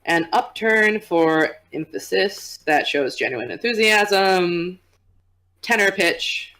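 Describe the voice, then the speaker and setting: A young woman with a low, relaxed voice and an audible vocal rumble, chatting casually and laughing often as a podcast guest. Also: slow.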